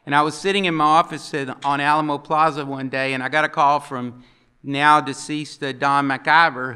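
A man's voice: mid-range voice, pushed and bright, clean tone. An older man on a conference panel, telling folksy anecdotes and acting out the people he quotes, at a table mic in a hotel conference room. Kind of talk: storytelling on a panel.

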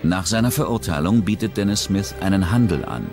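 A man giving serious, measured scripted narration for a true-crime documentary. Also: deep voice. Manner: measured, serious storytelling delivery. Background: steady background music.

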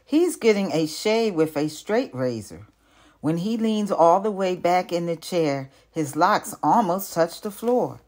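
An older woman, a retired teacher, reading a picture book aloud in careful, deliberate narration. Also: lowish voice, animated, a little mellow.

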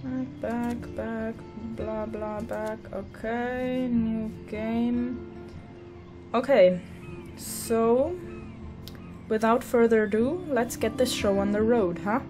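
Woman let's play host, unhurried and halting casual commentary, game music clearly underneath. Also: on the high side, fairly even intonation. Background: video game music.

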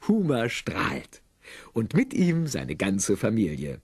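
A man with a husky voice narrating an audio drama in an animated, wry storytelling style. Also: fairly low pitch, slow pace, no room sound, full character voice. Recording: dry cassette studio narration over music. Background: music.

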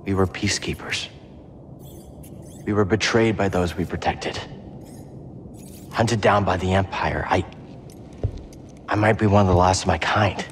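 An actor playing an idealistic young hero in a video game, sincere and understated. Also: male voice, low-pitched, fairly even intonation, a little slow, long pauses, slight background noise. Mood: earnest, guarded. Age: young adult. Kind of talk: video game cinematic acting.

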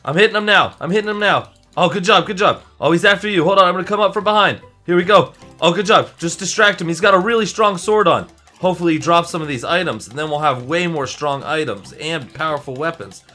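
Man playing a bright-voiced young superhero, chatty and excitable. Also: a little high, quick pace, few pauses, faint music behind. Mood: eager. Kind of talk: improvised Minecraft roleplay, casual chatter.

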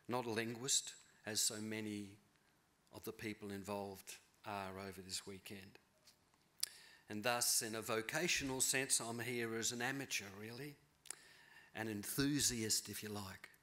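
A middle-aged man giving a keynote lecture from a podium in a large auditorium, thoughtful and measured, often rephrasing himself. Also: fairly low pitch, sharp tone. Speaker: keynote speaker, novelist. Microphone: podium mic.

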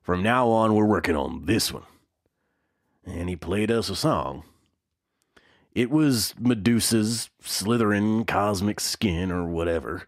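A man's voice: lowish voice, slow pace, no echo, studio quality. A man playing a wry veteran musician, folksy and salty, reminiscing in a mock documentary interview. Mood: nostalgic, admiring.

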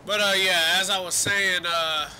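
A man's voice: sits high, steady tone, a little slow, pushed and bright, a little hiss or hum, poor audio. A young man with a congested voice giving loose, rambling casual commentary over a video game, swearing now and then. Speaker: gaming commentator.

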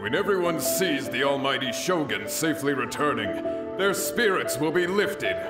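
남자 성우가 연기하는 열정적인 사무라이 전사, 울리는 음향. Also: male voice, medium pitch, expressive, moderate pace, roomy sound, loud music behind the voice, close to an everyday voice. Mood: confident. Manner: rousing, reverent. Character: fervent, hearty samurai warrior devoted to his ruler. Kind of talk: video game voice acting.

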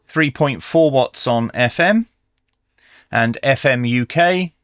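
A man's voice: medium pitch, slow, fairly bright tone, clear, resonant tone, dry recording, studio quality. A middle-aged man giving a methodical, explanatory product review in an instructional style. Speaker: radio reviewer, presenter.

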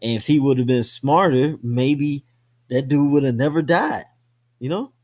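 A man's voice: medium pitch, normal speed, a little mellow. A man co-hosting a movie podcast, talking casually in slang and swearing freely. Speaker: podcast co-host.

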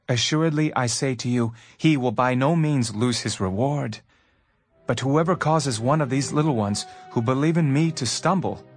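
Guy playing a grave holy teacher in a bible audio drama, deepish measured voice, soft music under. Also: close to an everyday voice.

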